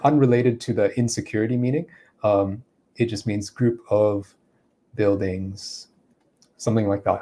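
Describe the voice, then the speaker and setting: A man teaching English, answering viewers' questions in a relaxed explanatory way with frequent fillers. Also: medium pitch. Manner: explanatory, thinking aloud with fillers.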